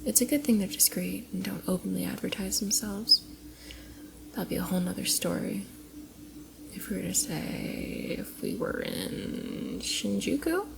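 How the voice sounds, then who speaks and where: A woman playing a flustered, affectionate young cosplayer in an intimate ASMR roleplay, coy and giggly, often dropping into soft breathy murmurs. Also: mid-range voice, sing-song, measured pace, full character voice. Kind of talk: ASMR roleplay, intimate one-sided dialogue to the listener.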